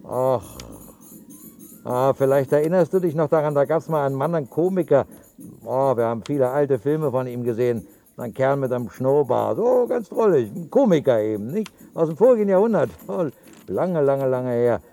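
A man's voice: mid-range voice, lively intonation, normal speed, warm tone, soft background music, close to an everyday voice. A man acting in a radio drama as an idle, sneering shut-in who muses and repeats his words. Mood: musing. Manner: musing, hesitant, repeating words. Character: idle, grumbling male shut-in, sneering at the world above.

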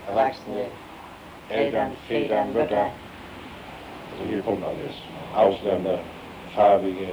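A man talking casually in an interview, listing things emphatically in short bursts. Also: lowish voice. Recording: dull echoey room with people behind.

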